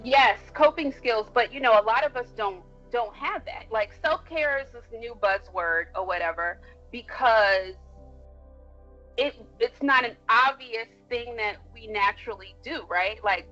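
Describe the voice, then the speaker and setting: A woman's voice: a little high, measured pace, sharp tone, highs cut off, faint music behind. A woman co-hosting a podcast, explaining her points in a reflective and casual way. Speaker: podcast co-host.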